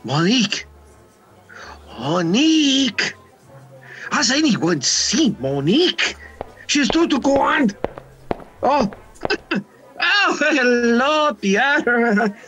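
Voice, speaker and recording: A man's voice: very high voice, sing-song, very slow, long pauses, sharp tone, soft background music, exaggerated voice. A man playing a flustered nightclub manager in an amateur radio play. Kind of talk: hobby radio play acting.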